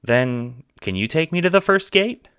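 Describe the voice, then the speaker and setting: A man voicing an earnest young boy hero in an audio drama, wheedling with halting, hammy pleading. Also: a little high, sing-song, fairly bright tone, clean tone, dry recording, studio quality, voice pushed up. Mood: tentative request.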